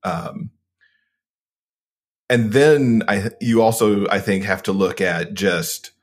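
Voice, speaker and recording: A man speaking as a podcast guest, giving practical advice in a relaxed way, with frequent ums. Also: lowish voice, takes it slowly. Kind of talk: casual interview chat.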